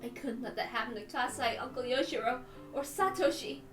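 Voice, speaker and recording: Woman, very high pitch, emotive visual novel narration, tense, deliberate, echoey room, music behind. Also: expressive. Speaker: reader on a stream. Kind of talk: dramatic first-person narration of a visual novel. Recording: roomy, distant mic, music behind.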